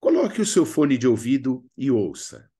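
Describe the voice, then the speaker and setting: A man with a Brazilian accent giving scripted instructional narration as a drama teacher, theatrical and expressive. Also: medium pitch, moderate pace, slight background noise.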